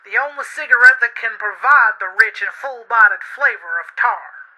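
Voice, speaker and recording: A man playing a smug, scheming brother in a 1950s radio drama, self-satisfied and unhurried. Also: extremely high pitch. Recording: lo-fi old radio tape, music behind.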